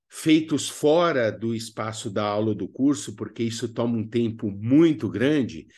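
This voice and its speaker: A Brazilian man narrating an instructional video as a workshop teacher, speaking expressively and didactically with emphatic pauses. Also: medium pitch, darkish tone.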